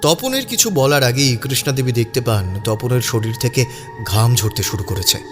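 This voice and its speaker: A man's voice: medium pitch, lively intonation, normal speed, music in the background. A man narrating a scripted audio story.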